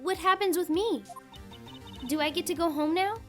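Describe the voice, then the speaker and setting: A woman performs a bubbly young girl for a game fan dub. Her voice sits extremely high and sounds girlish and eager, with soft music underneath. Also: normal speed. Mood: curious.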